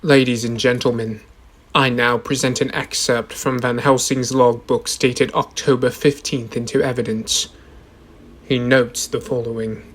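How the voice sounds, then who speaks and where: A young man in a radio drama playing a solemn advocate reading a logbook aloud, in a deliberate, ominous dramatic style. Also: medium pitch, level tone, lightly acted. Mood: formal. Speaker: actor in a school radio drama.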